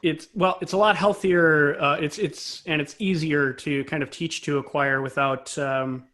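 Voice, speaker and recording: A man's voice: on the high side, fairly even intonation, measured pace, pushed and bright. A man speaking as an interview guest, a composer and conductor explaining things conversationally in a thoughtful, self-correcting way.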